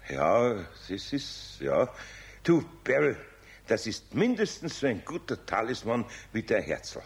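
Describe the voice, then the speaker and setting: A man's voice: medium pitch, huge pitch swings, measured pace, creaky, husky, exaggerated voice. An actor playing a good-natured older master craftsman in a children's radio play, with a Bavarian accent and a coaxing, patient but exasperated manner. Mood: kindly, encouraging. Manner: colloquial, coaxing, grandfatherly.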